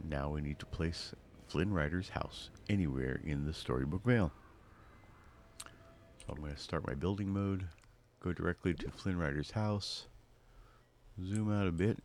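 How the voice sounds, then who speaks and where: A man's voice: low voice, a little mellow, a little hiss or hum. A man giving casual walkthrough commentary on a video game, talking through each of his own actions. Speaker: gaming video host.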